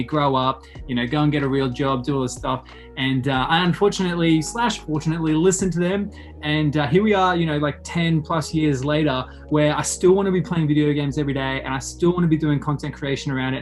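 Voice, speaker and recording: A man in his late twenties with an Australian accent, hosting a casual interview in an enthusiastic, informal, rambling way. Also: on the high side. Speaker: podcast host interviewing a guest.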